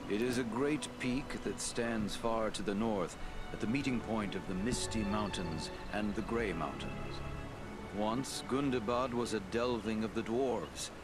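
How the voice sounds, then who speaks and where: A wise elven lord acted by a man, low in voice and solemn in manner. The phrasing is formal and dignified, set over a loud fantasy game score. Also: a little slow, over music, lightly acted. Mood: grave, dignified. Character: wise, grave elven lord counselling heroes. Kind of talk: fantasy game cutscene acting.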